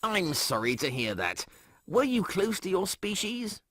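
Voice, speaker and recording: A man's voice: high-pitched, big pitch swings, a little slow, fairly bright tone, voice pushed up, heavily acted. A man voicing an officious, salesman-like medical machine that speaks in clipped, formulaic announcements. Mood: bland, officious.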